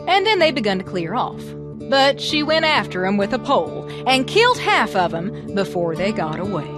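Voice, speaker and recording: A woman telling folk tales as a presenter, with expressive, theatrical, emphatic phrasing. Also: mid-range voice, moderate pace, over music. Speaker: storyteller and presenter. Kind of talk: animated storytelling narration of American folklore.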